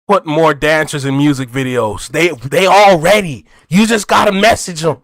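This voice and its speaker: A man hosting a casual music reaction video, excitable and talking to his viewers. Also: on the high side, moderate pace, dry recording. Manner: excitable, hypes and addresses viewers as "y'all".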